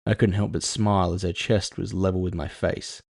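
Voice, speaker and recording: A male narrator reads first-person fiction with a measured, brooding delivery. His voice sits low, with a gravelly creak, and the recording is close and dry. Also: flat delivery, a little mellow, no room sound.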